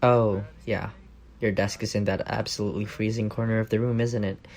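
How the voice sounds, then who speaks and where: A man's voice: fairly low pitch, little pitch movement, fairly quick, gravelly, a little mellow. A young man playing an affectionate, teasing roommate with a secret crush in a casual, intimate ASMR roleplay. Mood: playful, casual. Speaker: voice actor in audio roleplay.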